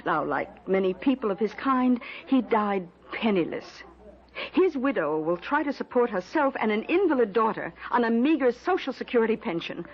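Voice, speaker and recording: A woman's voice: fairly high pitch, expressive, moderate pace, lo-fi sound, natural voice. An actress playing a sly, middle-aged mother of a crime family in an old radio drama, genteel, mock-sweet and wordy in her persuasion. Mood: smoothly persuasive.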